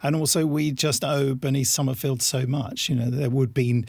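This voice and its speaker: Creaky-voiced man in a panel interview. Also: medium pitch, steady tone, moderate pace, darkish tone, no room sound, studio quality. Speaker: producer at an audio drama company. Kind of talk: round-table interview talk.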